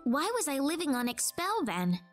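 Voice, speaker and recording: A woman's voice: high voice, very animated, moderate pace, soft background music. A woman playing an earnest, determined young heroine in a fantasy video game, with a sincere, questioning manner. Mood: searching, earnest. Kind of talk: dramatic video game dialogue.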